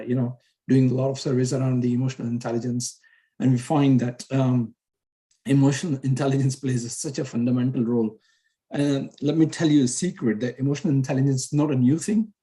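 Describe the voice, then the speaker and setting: A man from Pakistan joins a panel over a video call, speaking in an earnest, steady and deliberate way. His voice is very dark and mellow, and his pitch stays flat. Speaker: panel guest.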